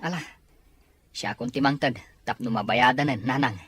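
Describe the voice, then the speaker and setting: A man playing a caring, responsible and often frustrated younger brother with a husky voice and Filipino Ilocano accent in an audio drama. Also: mid-range voice, normal speed, a bit bright, no echo. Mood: resigned, concerned.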